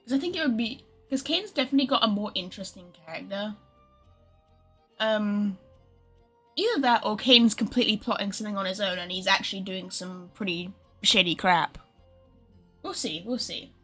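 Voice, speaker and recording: A woman's voice: mid-range voice, normal speed, fairly bright tone, breathy edge, soft background music. A woman streamer giving casual livestream commentary, rambling and speculating, often trailing off mid-thought.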